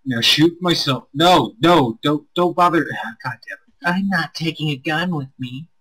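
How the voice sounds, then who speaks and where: A man's voice: a little high, moderate pace, pushed and bright, slightly rough, low-quality recording. A man bantering casually on a game stream in an exasperated, grumbling manner. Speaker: streamer reading a visual novel aloud.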